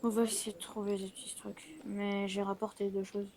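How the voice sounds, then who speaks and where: A woman improvising a roleplay while playing a video game, speaking informally and haltingly. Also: medium pitch, monotone, a little mellow. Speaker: player in a Fortnite zombie roleplay. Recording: noisy amateur home microphone.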